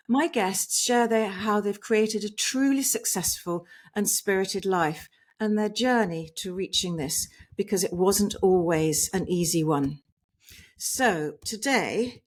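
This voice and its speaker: A woman hosting a podcast interview over a video call, giving a gushing introduction and leading the conversation. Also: mid-range voice. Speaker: podcast host. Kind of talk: online interview. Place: home in England.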